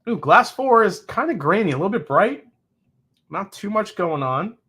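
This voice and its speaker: A man in his forties hosting a live whiskey tasting, chatting casually and reacting to viewers' comments with quick, excitable exclamations. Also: high voice, breathy edge. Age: 40s. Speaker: host of a whiskey tasting show.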